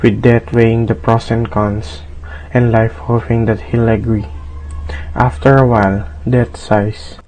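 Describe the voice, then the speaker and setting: A man's voice: mid-range voice, flat delivery, a little slow, a little mellow, slight background noise, poor audio. A man narrating a radio drama as a scripted storyteller.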